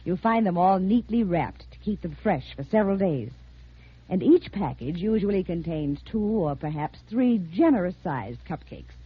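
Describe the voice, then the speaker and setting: A woman's voice: on the low side, expressive, normal speed, breathy edge. An American woman reading a 1950s radio advertisement as a home economist, formal, carefully enunciated and instructive. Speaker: home economist giving a sponsor message. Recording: muffled 1950s radio recording.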